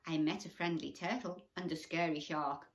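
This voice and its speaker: A woman's voice: sits low, fairly even intonation, moderate pace. A woman voicing a small young female fish in a bedtime story, eager, grateful and wide-eyed. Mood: happy, grateful.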